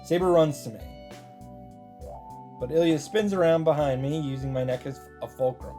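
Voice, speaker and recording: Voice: mid-range voice, moderate pace, dark tone. A commentator reading a visual novel story aloud and reacting to it. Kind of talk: narrated visual novel read-through with reactions. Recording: music under the voice. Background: game music.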